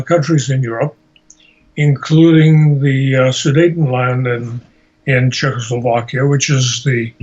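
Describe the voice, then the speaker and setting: Halting, slow-spoken man of eighty. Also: on the high side, a little mellow. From Slovakia and Hungary, later United States. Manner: halting, deliberate, measured, searching for words. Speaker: interviewee, Holocaust survivor. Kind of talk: personal life story told in an interview. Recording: dull, lo-fi amateur recording.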